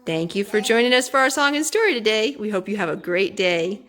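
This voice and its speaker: A mother reading a rhyming children's book aloud to her child, gentle and sing-song, pausing to ask him questions. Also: medium pitch, lively intonation. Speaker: mother and storytime host.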